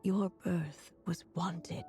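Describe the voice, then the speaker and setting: A woman playing a tender, solemn witch mother, narrating a storybook tale in a video game with gentle, measured delivery. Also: on the low side, fairly even intonation, faint music behind.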